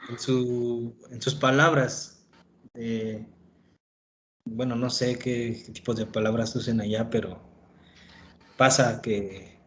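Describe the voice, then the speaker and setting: A man who is a graduate student, hesitant and soft-spoken, answering his professor in class. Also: medium pitch, fairly even intonation, takes it slowly, long pauses, noisy background. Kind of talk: class discussion.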